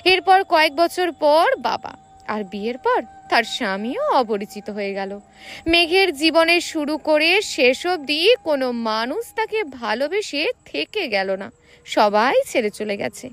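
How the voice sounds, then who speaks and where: High-pitched, animated woman narrating a story. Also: a little slow, faint music behind. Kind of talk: expressive scripted narration of romantic fiction.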